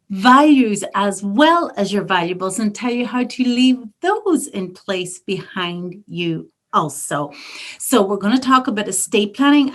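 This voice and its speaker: A middle-aged woman from Northern Ireland with a Northern Irish accent, an estate planning attorney giving a warm, effusive library talk. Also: mid-range voice. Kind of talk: library presentation.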